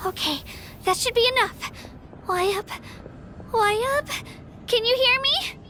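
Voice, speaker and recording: A woman voicing a small, earnest and determined young girl hero in a video game, with an excitable manner. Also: very high voice, a little slow, slight background noise, full character voice. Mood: concerned. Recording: game music behind the voice.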